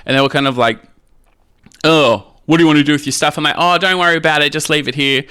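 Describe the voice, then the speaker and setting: Australian man, crisp forceful voice, cheeky storyteller, casual podcast chat, close dry sound. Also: fairly high pitch, quick pace, no echo. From Australia, rural Queensland. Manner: cheeky, animated storytelling with quoted dialogue. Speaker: podcast guest, drag queen. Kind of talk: casual candid anecdotal chat.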